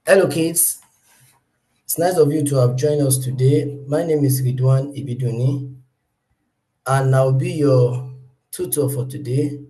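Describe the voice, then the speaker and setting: Man, tutor, mellow tone, polite, hesitant restarts, video call. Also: mid-range voice, steady tone, unhurried, pauses often, poor audio. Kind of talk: friendly class introduction.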